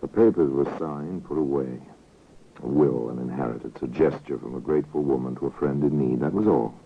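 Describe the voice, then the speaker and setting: An actor playing a musing, ominous narrator in an old-time radio drama, deliberate and portentous. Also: male voice, rumbling low, a little hiss or hum. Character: ominous, musing male narrator of suspense tale. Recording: muffled vintage radio recording.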